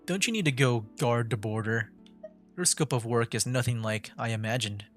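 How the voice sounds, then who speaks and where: A man's voice: medium pitch, normal speed, faint music behind. A man livestreaming a video game, commenting casually and reading dialogue choices aloud. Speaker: gaming livestreamer.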